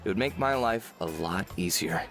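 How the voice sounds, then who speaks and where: A laid-back, weary big brother in a visual novel. His voice is fairly low and moves around expressively, with a kindly, folksy ease. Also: animated, moderate pace, fairly bright tone, faint music behind. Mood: exasperated. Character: easygoing, weary, kindly man, older brother.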